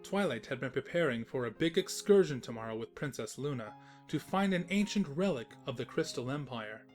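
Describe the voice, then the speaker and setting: A man playing a teasing, sentimental, gentlemanly storybook narrator in a fan fiction audio drama. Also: mid-range voice, lively intonation, brisk, soft background music, close to an everyday voice. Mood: warmly wry.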